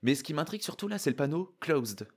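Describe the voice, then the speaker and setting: A man giving casual, excitable, slangy reaction commentary. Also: a little high, quick pace, husky. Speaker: YouTuber reacting to and analysing a trailer.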